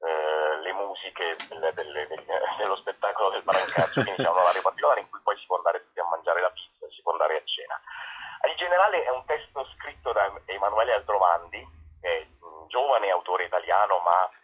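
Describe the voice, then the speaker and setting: A man, an actor and director being interviewed, explaining a show conversationally and often rephrasing himself mid-sentence, with a husky voice. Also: sits low, animated, fairly quick. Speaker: theatre actor and director, guest. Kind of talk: conversational interview answers, presenting a play. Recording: tinny telephone line on radio.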